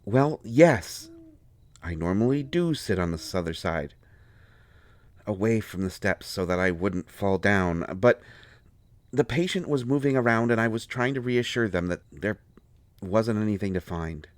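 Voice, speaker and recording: A man's voice: on the low side, animated, a little slow, no echo. A man acting out a harried, protective royal court doctor in an audio roleplay, defensive and exasperated with a lover. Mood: evasive, explaining. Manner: pleading, exasperated, swinging from soft explaining to flustered outbursts. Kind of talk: dramatic audio roleplay, one side of a conversation.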